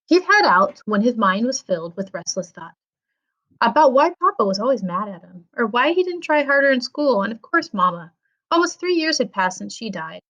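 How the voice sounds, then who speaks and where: A woman, a school librarian, reading a children's story aloud with expressive storytelling. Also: fairly high pitch, moderate pace, dull audio.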